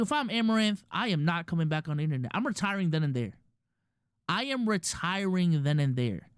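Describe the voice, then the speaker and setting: A man's voice: on the high side, a little mellow, no room sound, clean studio sound. Casual streamer guy with a Filipino accent. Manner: loose slangy talk with many restarts. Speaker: streamer and podcast host. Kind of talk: casual commentary to a live chat.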